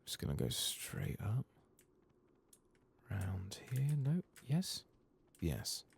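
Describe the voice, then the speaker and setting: A man giving soft-spoken ASMR commentary as he plays a video game, casual and sweary, muttering to himself. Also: lowish voice, huge pitch swings, very slow, creaky, husky. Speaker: streamer playing a role-playing video game.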